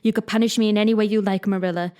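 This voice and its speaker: A woman's voice: medium pitch, steady tone, rapid-fire, warm tone, smooth, lightly acted. A woman voicing a fiery, imaginative young orphan girl who speaks in an impassioned, pleading way. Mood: defiant.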